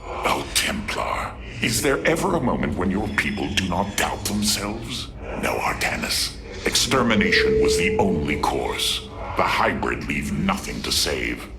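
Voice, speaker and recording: Haughty alien warlord, game cutscene, gravelly menace, slow sneering delivery, widely swooping intonation, music behind. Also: male voice, deep voice, sing-song, exaggerated voice. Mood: contemptuous. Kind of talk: video game cutscene acting.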